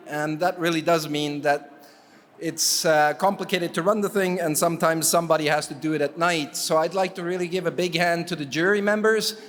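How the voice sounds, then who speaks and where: A man from Canada presents awards from a conference stage, slightly high in pitch and wry in tone. He stops on fillers as he goes. The stage microphone carries his voice into a long, echoing hall reverb. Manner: relaxed, wry, halting with fillers. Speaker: jury chair presenting awards. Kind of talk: ceremony speech with asides.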